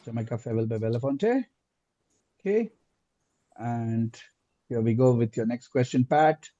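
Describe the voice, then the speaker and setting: A man hosting a quiz live, reading questions aloud deliberately and repeating answers and phrases, over lo-fi online call audio. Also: mid-range voice, lively intonation, warm tone, no echo. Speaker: quizmaster.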